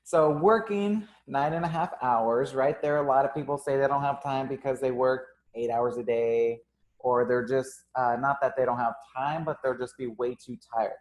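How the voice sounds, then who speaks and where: Young man, gym owner, casual host, relaxed, frequent um and uh fillers, mid-pitched voice. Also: normal speed. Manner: casual, filler-heavy, upbeat. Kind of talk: live-stream interview.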